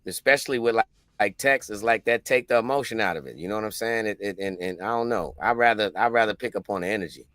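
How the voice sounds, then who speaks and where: A man's voice: fairly low pitch, fast, fairly bright tone, no echo, studio quality. A man from Albany, New York, a musician and producer, talking in a laid-back, rambling way on an online call. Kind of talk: casual chat.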